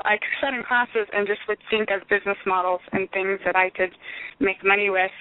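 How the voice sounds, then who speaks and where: A woman's voice: mid-range voice, no echo. A Texan woman in her thirties, a business owner, chatting as an interview guest with many ums. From Texas, United States. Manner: chatty storyteller, frequent ums. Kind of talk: casual interview chat. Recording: tinny phone line, dry.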